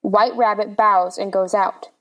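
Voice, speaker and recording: A woman's voice: mid-range voice, fairly even intonation, unhurried, fairly bright tone. A woman reading stage directions as the narrator, in scripted narration.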